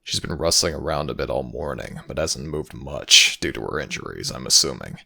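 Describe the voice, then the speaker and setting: A man playing a stern, brooding jackal god of the underworld in a fantasy audio roleplay, with a very deep, gravelly voice and a grave, formal manner. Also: lively intonation, moderate pace, pushed and bright, heavily acted. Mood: grave, reserved.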